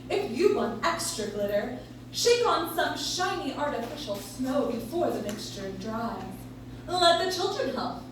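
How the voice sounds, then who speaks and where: A woman's voice: high voice, a little hiss or hum. A woman delivering a scripted 1940s-style radio commercial live on stage, recorded from a distance in a very echoey room. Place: live stage in a coffee shop.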